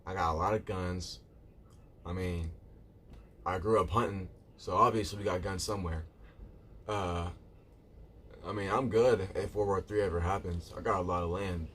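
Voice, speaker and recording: A man's voice: low voice, steady tone, normal speed, long pauses, a bit bright, slight background noise. A man live streaming, chatting casually with viewers in a rambling way, repeating himself and calling them "bro".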